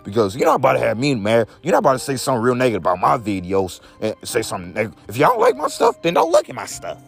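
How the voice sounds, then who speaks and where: A young man with a deep voice, talking in a rambling, repetitive vlog rant, whose voice jumps high when he gets upset. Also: wildly swooping pitch, rapid-fire, vocal fry, soft background music. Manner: repeats each phrase, voice leaps high when upset. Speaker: vlogger.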